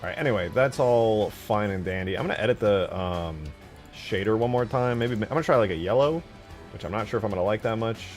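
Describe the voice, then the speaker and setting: A man's voice: lowish voice, a little fast, faint music behind. A man giving casual, rambling commentary as a game modder, thinking aloud in slangy, informal speech. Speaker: game modder showing work in progress.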